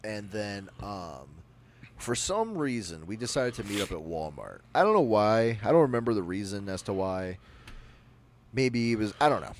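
A young man with a naturally loud voice, telling a personal story on a podcast in a rambling, animated way. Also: lowish voice, normal speed, a little hiss or hum. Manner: animated, rambling, with frequent restarts. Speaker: podcast co-host. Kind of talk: casual storytelling.

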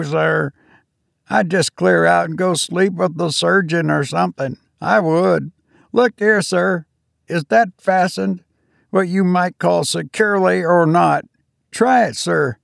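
A man's voice: high voice, vocal fry, no echo, clean studio sound, pitched above the performer's own voice, exaggerated voice. A man voicing a nervous, obsequious male ship's steward who fawns and keeps saying sir. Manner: fawning, keeps saying sir, drops grammar.

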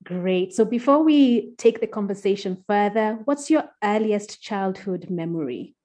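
A woman's voice: mid-range voice, animated, measured pace, mellow. A woman hosting a podcast, reading introductions and putting questions to a guest. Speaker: podcast host. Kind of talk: scripted podcast introduction and interviewing.

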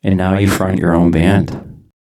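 A man hosting a casual interview, chatty and relaxed, with frequent ums and restarts. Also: low voice, measured pace, background noise. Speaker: host. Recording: echoey room.

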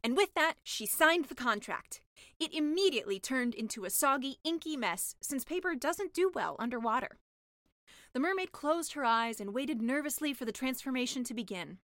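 A woman's voice: sits high, lively intonation, normal speed, a bit bright, dry recording, clean studio sound. A woman narrating a comic fairy tale as an audiobook reader, in a scripted storytelling style.

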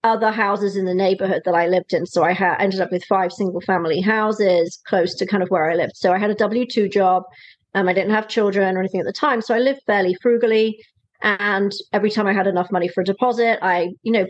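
A woman in her forties from Wales with a Welsh accent, chatting as a podcast guest in a relaxed, self-deprecating way with frequent fillers. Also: medium pitch, dry recording. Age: 40s. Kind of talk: conversational interview. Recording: slightly dull lo-fi dry sound.

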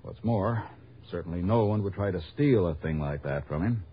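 An American actor playing an anxious, genteel reformed ex-convict in a 1960 radio drama, speaking hesitantly and earnestly. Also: male voice, deep voice, animated, moderate pace, husky. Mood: earnest, urgent. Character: anxious reformed ex-convict robbery victim, proper and evasive. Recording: muffled old radio recording.

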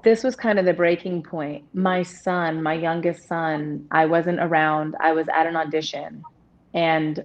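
Deep-voiced woman, open and rambling. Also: fairly even intonation, a little slow. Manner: open, rambling, restarts often. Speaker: interview guest. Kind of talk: candid personal conversation. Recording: dull lo-fi audio.

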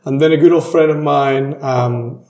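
Young male, Peruvian accent, native Spanish speaker, informal interview guest, warm mellow tone, roomy echo. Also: on the high side, fairly even intonation, normal speed. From Peru. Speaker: guest, drinks brand ambassador. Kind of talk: casual interview conversation. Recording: echoey room.

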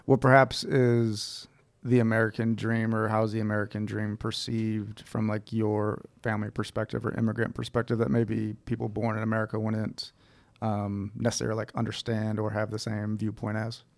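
A man hosts an interview and asks his questions in an easygoing way, with "um" and "uh" hesitations. His voice has a gravelly fry and stays flat in pitch. The sound is dry and close, with no room echo. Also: moderate pace. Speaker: interview host.

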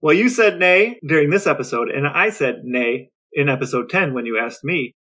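A man co-hosting a podcast, sharing facts in a dry, deadpan way during banter. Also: a little high, moderate pace, husky. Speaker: podcast co-host.

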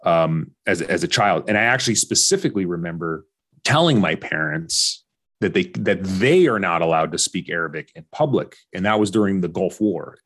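Low-voiced American man, candid and informal. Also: animated, normal speed, a bit bright. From Orange County, California. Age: 40s. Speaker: interview guest. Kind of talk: candid personal storytelling in conversation.

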